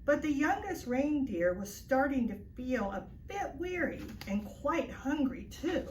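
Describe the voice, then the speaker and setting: Woman reading kids story, slow and very lively, roomy sound a bit far off. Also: sits high. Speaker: storybook reader. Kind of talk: children's story read aloud. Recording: echoey, distant mic, faint noise.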